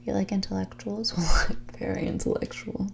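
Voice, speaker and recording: A woman playing a snobbish, manipulative heiress in her twenties in a whispered, soft-spoken ASMR roleplay, condescending and blunt with a drawl full of filler "like". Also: medium pitch, steady tone, brisk, creaky, a little hiss or hum. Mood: self-satisfied. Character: snobbish, manipulative young rich heiress on a date.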